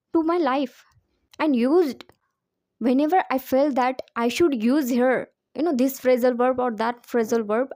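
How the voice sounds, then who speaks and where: Female English tutor, non-native speaker, high soft-toned voice, explanatory and repetitive for emphasis. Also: no echo, studio quality. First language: not English. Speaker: English teacher giving advice. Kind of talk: informal spoken lesson drawing on personal experience.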